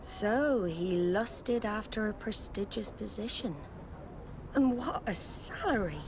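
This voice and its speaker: A woman's voice: mid-range voice, animated, takes it slowly. A woman playing a proud, resolute female admiral in a video game, with a firm, formal, commanding manner. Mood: grateful, formal. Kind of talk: video game cutscene acting. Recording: noisy outdoor ambience behind the voice.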